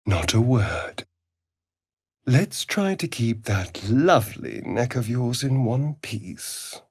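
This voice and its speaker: An actor playing a vain, flirtatious, sardonic male vampire in a video game, with an arch, theatrical, breathy delivery. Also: medium pitch, animated, slow pace, darkish tone, dry recording, studio quality. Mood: seductive, teasing. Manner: theatrical, arch, drawling, swinging into breathy murmurs. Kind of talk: dramatic video game voice acting.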